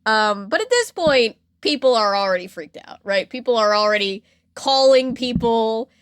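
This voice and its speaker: A woman's voice: a little high, normal speed, smooth, dry recording. Casual woman podcaster telling a story, dry sound. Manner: animated, slangy, acts out quotes. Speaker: podcast host.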